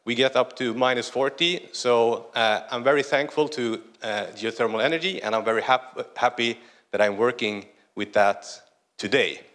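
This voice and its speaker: A man's voice: mid-range voice, a bit bright. Swedish-accented man giving a conference talk. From northern Sweden. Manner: conversational, with frequent "uh" pauses. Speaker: conference speaker. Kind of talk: conference presentation. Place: large conference hall stage.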